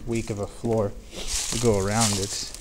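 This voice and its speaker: A man calmly narrating as he explores an abandoned building, talking matter-of-factly with pauses, recorded on a handheld camera. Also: lowish voice. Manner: calm, matter-of-fact narration with filler pauses. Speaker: urban explorer. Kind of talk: walking commentary. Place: outdoors and in an abandoned barn-like building. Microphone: handheld camera mic. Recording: handheld camera, clear background noise.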